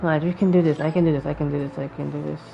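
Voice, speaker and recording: A woman's voice: sits low, fairly even intonation, very fast, gravelly. A woman giving casual gameplay commentary, thinking aloud and often repeating words and phrases. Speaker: gaming streamer. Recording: dull lo-fi mic over game music. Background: faint game music.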